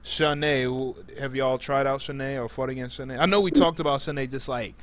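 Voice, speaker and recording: Casual male podcast host, medium pitch. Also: steady tone, fairly quick, fairly bright tone. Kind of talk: casual discussion hosting.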